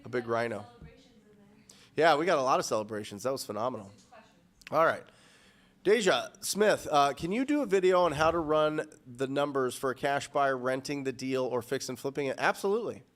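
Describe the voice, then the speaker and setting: A man in his thirties hosting a live show from a studio, answering viewer questions in a lively, upbeat way with many restarts, speaking into a boom mic. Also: a little fast. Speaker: host. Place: studio with purple backdrop.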